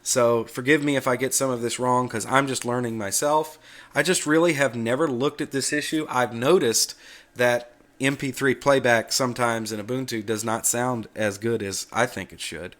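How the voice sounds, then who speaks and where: Male tutorial host, medium pitch, folksy and plain-spoken, casual unscripted explaining. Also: steady tone, moderate pace, a bit bright. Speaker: host of a Linux tutorial video. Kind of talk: casual screencast talk, explaining off the cuff.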